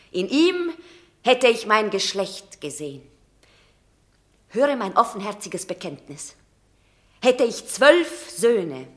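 Fierce Roman mother, declamatory, highly animated, bright voice, classical radio drama. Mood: fierce pride. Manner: declamatory, emphatic, passionate. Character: proud, fierce Roman matron, mother of a war hero. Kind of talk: classical stage-style radio drama acting.

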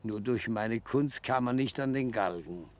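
An actor playing a genial, slyly coaxing old retired defence lawyer in a German radio play, with pompous, florid, old-fashioned phrasing. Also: male voice, mid-range voice, measured pace, a little mellow, lightly acted. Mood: genial, confiding. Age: older.

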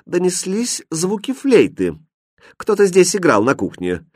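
Stern, haughty lady-in-waiting for a storybook, higher stiff voice played by a man. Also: wildly swooping pitch, a little slow, slightly rough, dry recording, studio quality, full character voice. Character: haughty, stern woman, first lady of the royal court.

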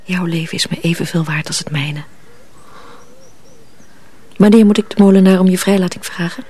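Soft, breathy young village girl. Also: lowish voice, normal speed. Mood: devoted, resolute. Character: devoted, tender young village girl in love. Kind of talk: radio drama dialogue.

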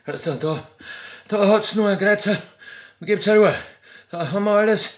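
A man's voice: high-pitched, normal speed, voice pushed up, lightly acted. A man voicing a fat, bossy middle-aged widow with an Austrian accent, fussy and indignant, in a comic radio play. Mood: flustered, bossy. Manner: breathless, fussy, repetitive scolding.

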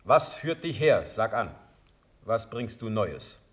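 Radio drama sovereign: stern, formal, commanding, probing, classical verse delivery. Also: male voice, on the low side, big pitch swings, takes it slowly. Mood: stately, probing. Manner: formal, imperious, questioning.

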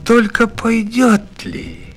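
A man's voice: very high-pitched, level tone, takes it slowly, breathy edge, full character voice. A man voicing a kindly, cheerful old village hunter in a storybook tale, with a folksy, playful, plain-spoken manner. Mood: thoughtful. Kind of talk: storybook fairy tale reading.

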